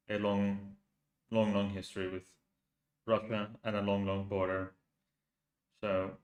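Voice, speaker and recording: A slow, halting man with a Finnish accent talks loosely to his viewers, his voice sitting a little low. He restarts his thoughts often as he goes. The sound carries a roomy echo. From Finland. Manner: hesitant, halting with many restarts. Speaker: channel host. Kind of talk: casual live stream talk.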